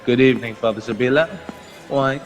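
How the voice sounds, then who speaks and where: An actor playing a sardonic, needling gentleman in a radio drama. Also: medium pitch, fairly even intonation, a little fast, in a large hall, faint music behind, natural voice. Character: dry, sardonic male guest debating a friar.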